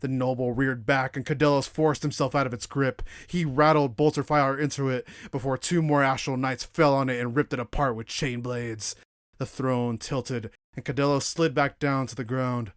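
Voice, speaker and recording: A man narrating a science fiction war story, reading dramatically in a measured, solemn storytelling manner. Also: mid-range voice, steady tone, clear, resonant tone, dry recording, studio quality. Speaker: audiobook narrator.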